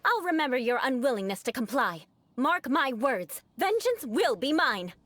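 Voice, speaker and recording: A woman's voice: high voice, very animated, normal speed, fairly bright tone, dry recording, exaggerated voice. A woman playing a proud young noblewoman knight with grandiose, formal aristocratic speech in an anime video game. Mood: vengeful.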